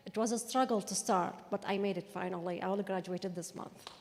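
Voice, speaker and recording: A woman's voice: mid-range voice, noisy background. Polite Iraqi-born woman, hall reverb. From Iraq. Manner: brisk, polite, non-native grammar. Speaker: new citizen, dentist. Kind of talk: short personal remarks. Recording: handheld mic in a reverberant hall.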